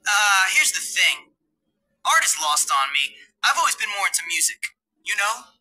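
An actor voicing a cocky, sarcastic teenage schoolboy in a video game cutscene, with a husky, dry delivery. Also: medium pitch, very animated, moderate pace, bright, forceful tone, full character voice, low-quality recording. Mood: wry.

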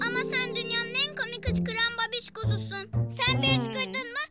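Very squeaky, dramatic little cartoon girl chick. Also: steady tone, moderate pace. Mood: excited. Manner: dramatic, exclaiming. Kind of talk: children's cartoon acting. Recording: loud music behind.

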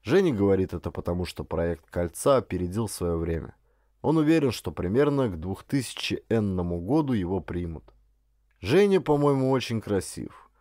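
A man reading a first-person literary story aloud as an audiobook narrator, in an expressive storytelling manner. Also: lowish voice, moderate pace, no room sound, studio quality.

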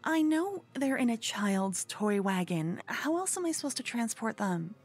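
A woman's voice: fairly high pitch, very animated, measured pace, soft background music, full character voice. A woman voicing a cute, obsessed human fangirl in an ASMR roleplay, stammering, flustered and brazen, often slipping toward a whisper. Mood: nervous, defiant. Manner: stammering, breathy, dropping into whispers. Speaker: ASMR voice performer.

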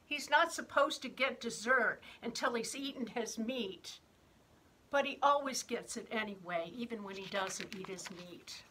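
A woman's voice: a little high, unhurried, pushed and bright, slight background noise. A woman reading a picture book as a jealous, indignant eight-year-old, with whiny, emphatic complaints. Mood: exasperated. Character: jealous, indignant eight-year-old third grader griping about younger brother.

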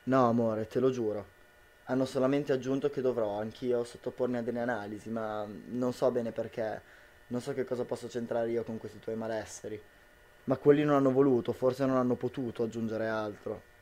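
A man's voice: medium pitch, fairly even intonation, brisk, darkish tone, natural voice. A young man acts an anxious, loving partner in an amateur radio play. He speaks in a medium register, earnest and reassuring. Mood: reassuring, worried. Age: young adult. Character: worried, tender husband reassuring his partner. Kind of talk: amateur student radio drama acting.

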